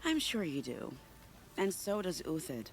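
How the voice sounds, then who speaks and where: A woman's voice: fairly low pitch, huge pitch swings, unhurried, soft background music. An actress playing a sly, flirtatious female spy in a game, teasing and confiding in a playful tone. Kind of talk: video game cutscene acting.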